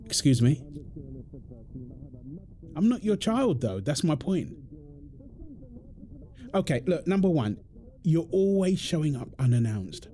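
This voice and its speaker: Man acting an exasperated British husband, animated higher voice. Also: takes it slowly, pauses often, slight background noise. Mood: exasperated, argumentative. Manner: insistent, repetitive, argumentative. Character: exasperated, defensive husband and father quarrelling indignantly. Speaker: audio roleplay performer. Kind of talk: dramatic one-sided argument roleplay.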